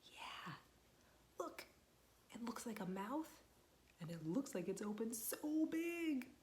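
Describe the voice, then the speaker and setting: A young woman, a children's librarian, reading a picture book aloud to small children, gentle and patient, asking them questions and waiting for their answers. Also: on the high side, very animated, takes it slowly, a little hiss or hum.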